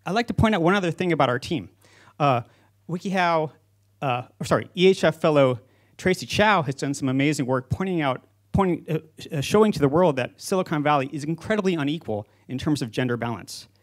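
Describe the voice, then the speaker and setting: A man's voice: a little high, expressive, fairly quick. A man in his forties giving a prepared conference speech as a company founder, speaking into a handheld microphone on stage. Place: stage in an auditorium with seated audience.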